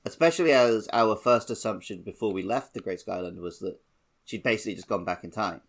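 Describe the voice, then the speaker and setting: A man's voice: on the low side, fast. A man co-hosting a podcast, casually talking through a video game. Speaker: podcast host.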